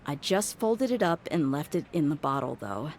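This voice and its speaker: A tough young mercenary woman talks in a low, blunt voice with dry, wry sighs. She sounds exasperated yet steady, as in a game cutscene. A faint layer of noise sits behind her. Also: normal speed. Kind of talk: game voice acting.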